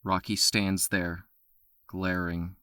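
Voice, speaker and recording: Male narrator, steady storytelling, lowish voice, dry sound. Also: slow pace, smooth, no echo. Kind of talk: scripted audio drama narration.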